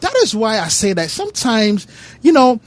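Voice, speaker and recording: A woman's voice: fairly high pitch, very animated, normal speed. A woman speaking as a guest in a casual panel discussion, emphatic and repeating her words as she builds a point.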